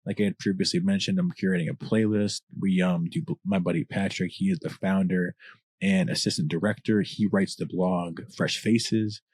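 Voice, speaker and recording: A man with a deep voice, a rapper talking as a podcast guest, passionate, swearing often and rambling in long answers. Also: steady tone, normal speed, a little mellow, slightly rough, no room sound. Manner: passionate, profane, long-winded. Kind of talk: casual candid chat.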